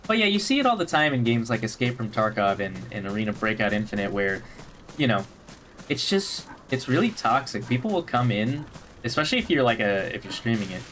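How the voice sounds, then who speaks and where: A man chatting casually while streaming a game, rambling with restarts, his voice slightly hoarse. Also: mid-range voice, sing-song, fairly quick, a bit bright, soft background music. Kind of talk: casual gameplay stream chat. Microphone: gaming headset.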